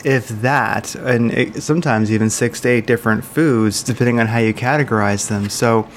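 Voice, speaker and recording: A man's voice: mid-range voice, normal speed, a little hiss or hum. A man hosting an interview podcast, speaking casually with frequent fillers and restarts. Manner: hesitant, many fillers and restarts. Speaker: podcast host.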